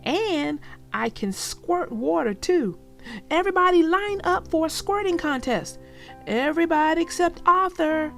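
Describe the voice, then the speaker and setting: Woman playing a loud, high-pitched, brash schoolgirl. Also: animated, a little slow, gravelly, soft background music, full character voice. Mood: showing off. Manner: loud, exclaiming, taunting. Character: brash, boastful schoolgirl in a children's storybook.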